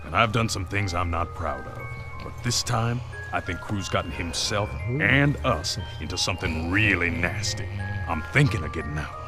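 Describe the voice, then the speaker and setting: Very deep-voiced tough guy. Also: lively intonation, moderate pace. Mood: uneasy, gruff. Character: tough, world-weary male gangland heavy. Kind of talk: video game acting. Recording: music behind the voice.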